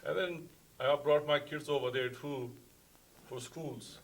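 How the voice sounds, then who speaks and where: A man from Afghanistan, a parent, giving earnest, halting public comment at a school board meeting. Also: medium pitch, steady tone, poor audio. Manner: halting, earnest, many restarts.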